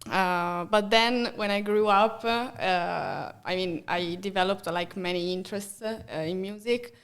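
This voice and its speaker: A young Italian woman speaks English with a clear accent, her voice sitting at a middle pitch. She chats casually on a podcast, stumbling over fillers and slipping in small laughs. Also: fairly even intonation, measured pace. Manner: hesitant, many fillers, laughs often. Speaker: guest.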